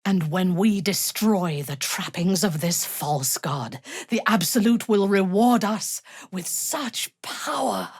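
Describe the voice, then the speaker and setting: An actress playing an imperious, ruthless female drow commander in a video game, haughty and measured, with menace and seduction. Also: mid-range voice, expressive, husky, dry recording, studio quality, full character voice. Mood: zealous. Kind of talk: scripted video game voice acting.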